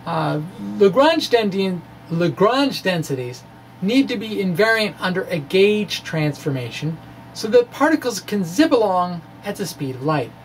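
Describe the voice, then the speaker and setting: Halting, wry man on a noisy recording. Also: sits high, sing-song. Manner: halting, wry, chuckles at own asides. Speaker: amateur physics blogger. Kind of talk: informal dramatic reading of a physics blog.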